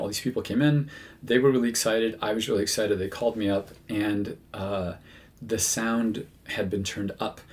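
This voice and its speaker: A man who works as a medium, talking casually to his viewers with many fillers and self-corrections. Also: on the low side. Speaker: medium, channel host. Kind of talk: casual talk to camera.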